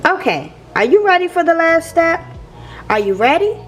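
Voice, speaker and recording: A woman reading a picture book aloud to young listeners in a playful, expressive teacher's manner. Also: extremely high pitch, normal speed, soft background music. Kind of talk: expressive children's read-aloud.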